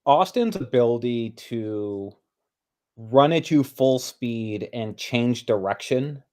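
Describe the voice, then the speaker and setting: A man with a middling pitch gives casual, analytical sports commentary. He explains tactics piece by piece in a conversational, co-host manner. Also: lively intonation, slow, darkish tone. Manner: explanatory, thinks through tactics step by step. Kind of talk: casual analytical play breakdown.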